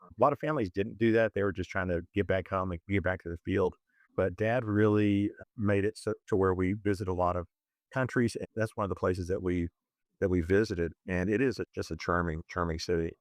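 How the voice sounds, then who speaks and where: A man discussing films conversationally as a podcast host, in a halting, self-correcting way. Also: sits low, fairly even intonation, dry recording, clean studio sound. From moved to Zambia at eleven, schooled in Kenya.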